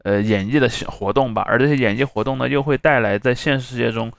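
Guy on a podcast explaining stuff step by step, talks fast, really dry recording no room sound. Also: medium pitch, steady tone, vocal fry, dry recording. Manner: explains step by step, rephrasing and defining terms. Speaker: podcast co-host. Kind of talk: casual explanatory discussion.

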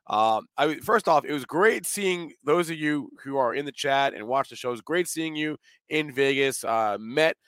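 A hoarse man hosting a live show, chatting in an upbeat way with frequent restarts. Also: on the high side, animated, moderate pace, fairly bright tone, no echo. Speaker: host. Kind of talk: casual live show talk.

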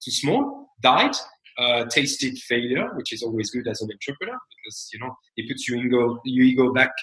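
A man in his forties from France with a French accent, talking as a founder guest in an interview in a measured, reflective way. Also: medium pitch, expressive, a bit bright, echoey room, rough recording. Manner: measured, reflective, many fillers and restarts. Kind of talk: interview conversation.

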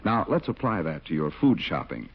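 Man, radio announcer, smooth persuasive commercial, slightly low, husky, muffled old broadcast. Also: big pitch swings, moderate pace. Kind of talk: scripted sponsor commercial.

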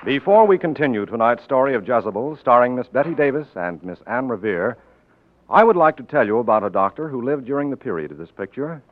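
A tinny, narrow-band old radio recording of a male announcer from the 1940s. His pitch is medium but moves in lively swings. He reads in a formal, earnest, measured manner. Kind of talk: 1940s scripted radio announcing and sponsor message.

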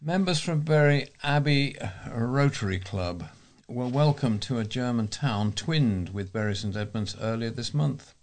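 A man reading local news aloud as a volunteer newsreader, in a measured way with explanatory asides. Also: mid-range voice, expressive.

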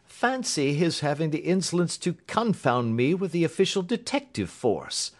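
Guy doing a calm unbothered gentleman detective, bit mocking, audiobook style, super lilting voice. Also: a little high, moderate pace, pitched above the performer's own voice. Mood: amused, brisk. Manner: imperturbable, bland, lightly mocking. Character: bland, unflappable, amused gentleman detective.

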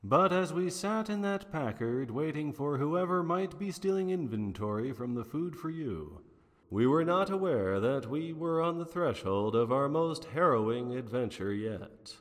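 A man's voice: fairly high pitch, animated, unhurried. A man playing a wry, unflappable private detective in a 1940s noir radio drama, with a theatrical, wordy manner. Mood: wry, storytelling. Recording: long reverberant hall sound.

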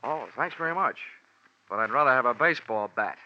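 An actor playing a wry, world-weary insurance investigator in a 1940s radio drama, deadpan and wisecracking, on a dull vintage recording. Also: male voice, medium pitch, a little fast, very noisy, lightly acted. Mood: dry, wry. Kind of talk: 1940s radio detective acting and narration.

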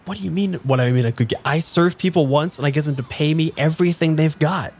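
A man's voice: on the high side, fast talker, a little mellow. Cynical, foul-mouthed young diner server played by a man. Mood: irritated, cornered. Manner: blunt, exasperated, sweary. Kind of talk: improvised audio drama dialogue.

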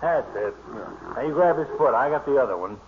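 An American actor playing a blustery, sardonic, stubborn doctor in old-time radio comedy, on a muffled, noisy recording. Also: male voice, medium pitch, lively intonation, fairly quick, close to an everyday voice. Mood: gruff, sardonic. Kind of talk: 1930s-40s radio comedy acting.

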